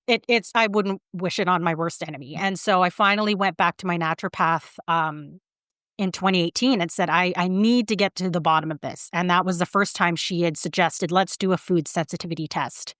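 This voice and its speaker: Woman, 30s, interview guest; smooth clear tone, dry mic, chatty and animated delivery. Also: on the low side, brisk, dry recording. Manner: animated storytelling with many fillers and restarts. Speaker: guest, vegan writer and publicist. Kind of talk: casual interview talk.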